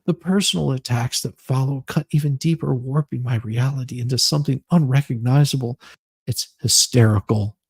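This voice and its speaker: A man hosting a livestream, moving between casual chat and mock-dramatic readings with theatrical, drawn-out emphasis. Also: mid-range voice, gravelly, breathy edge, dry recording. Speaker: livestream host.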